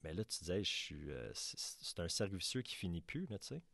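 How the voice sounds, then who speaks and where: Deep-voiced Québécois man, dry sound. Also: sing-song, a little fast, gravelly, fairly bright tone, no echo. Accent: Québécois French. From Quebec. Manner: plain, matter-of-fact, fillers like fait que. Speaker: podcast host. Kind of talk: casual conversational interview.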